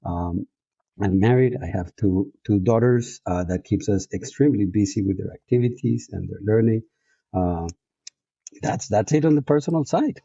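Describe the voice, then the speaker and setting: Colombian-accented guest, a man, lowish and very warm, reflective and chatty, dry close audio. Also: lively intonation, normal speed, vocal fry, breathy edge, no echo. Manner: reflective, frequent restarts and fillers, laughs easily. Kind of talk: casual interview chat. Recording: dull, muffled audio.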